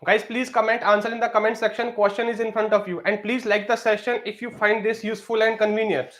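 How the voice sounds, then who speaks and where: A man's voice: high voice, flat delivery, fast, a bit bright. A man teaching a live-streamed exam prep lesson, leaning on fillers and repeatedly asking viewers to comment.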